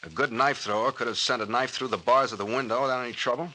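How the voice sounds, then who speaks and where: A man plays a gruff, skeptical captain from a vintage radio mystery. His voice is forceful and cutting, with blunt, probing delivery. The old recording carries a light hiss. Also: mid-range voice, steady tone, fast, natural voice, poor audio. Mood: skeptical, stern. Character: gruff, shrewd police captain questioning a suspect. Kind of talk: 1930s-40s radio drama acting.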